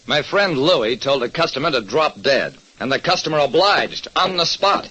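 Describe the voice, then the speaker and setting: A man's voice: on the high side, animated, moderate pace, pushed and bright, husky, highs cut off, exaggerated voice. An actor playing a wry, hard-boiled private detective who narrates his case tersely in an old radio drama. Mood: wry, dramatic.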